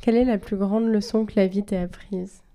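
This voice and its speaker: A woman podcast host asking interview questions and reading the closing outro in a measured, scripted way. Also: mid-range voice, steady tone, warm tone.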